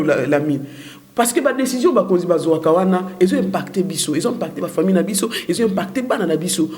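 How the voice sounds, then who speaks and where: A woman's voice: mid-range voice, warm tone. A woman from the Democratic Republic of the Congo with a Congolese accent, discussing as an interview guest in an emphatic, repetitive way, switching languages mid-sentence. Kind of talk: political and religious discussion.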